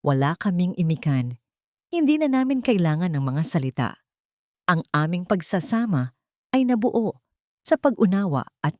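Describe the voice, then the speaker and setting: A woman's voice: low-pitched, a little mellow, no echo, clean studio sound. A woman reading a scripted first-person dramatic story as an audio narrator.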